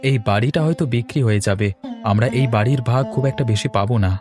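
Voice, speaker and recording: Young cartoon husband, played by a man, male, earnest and troubled, average pitch, light music bed. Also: fairly quick, natural voice. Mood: worried, explanatory. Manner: earnest, explanatory. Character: worried, newly married young son explaining family troubles. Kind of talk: cartoon dialogue.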